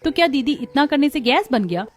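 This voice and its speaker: Woman voicing high, fretful young daughter-in-law, cartoon. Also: animated, a little fast. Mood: curious, doubtful. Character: curious, doubting younger village daughter-in-law, eager and easily worried. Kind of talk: scripted cartoon dialogue.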